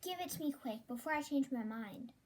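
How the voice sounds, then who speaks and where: A woman's voice: sits high, expressive, brisk. A girl of about ten playing an earnest, determined young married woman in a school radio play. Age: child of about ten.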